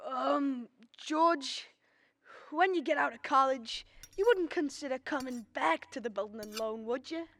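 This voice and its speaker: A woman acting a gentle, weary father in a radio drama, speaking patiently with small hesitations. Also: sits high, a little slow, no echo. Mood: gentle, resigned. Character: gentle, weary old father of a grown son. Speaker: student actor.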